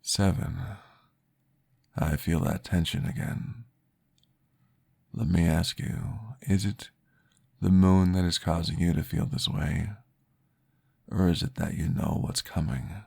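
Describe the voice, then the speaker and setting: A man playing a calm, courteous vampire therapist in an ASMR roleplay, guiding gently and formally in a breathy, gravelly voice. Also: deep voice, heavily acted. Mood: soothing, reassuring. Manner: slow, gentle, formal, soothing guidance.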